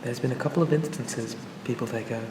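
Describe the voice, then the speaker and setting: A man playing a charming, pleasant AI assistant for a police officer in a soothing, gently reassuring ASMR roleplay. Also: mid-range voice, quick pace, reverberant, recorded from a distance, background noise, lightly acted. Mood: pleasant, encouraging. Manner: gentle, hesitant, reassuring, conversational.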